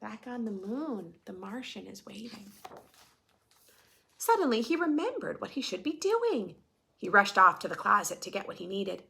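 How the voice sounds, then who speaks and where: A woman's voice: medium pitch, big pitch swings, normal speed, gravelly, sharp tone. A woman reading a storybook aloud to young children, expressively, with chatty, questioning asides to her listeners. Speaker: children's story reader and host.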